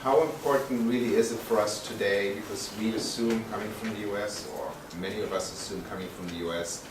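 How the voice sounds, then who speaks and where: Male panel moderator, lowish voice, informal and discursive, echoey room with noticeable noise. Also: steady tone, brisk. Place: college panel event room. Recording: echoey room, distant mic, clear background noise.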